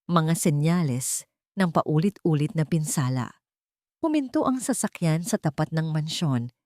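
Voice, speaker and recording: Lady narrator telling a dramatic story, slightly low voice, very dry close sound. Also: expressive, a little slow, a little mellow, no echo.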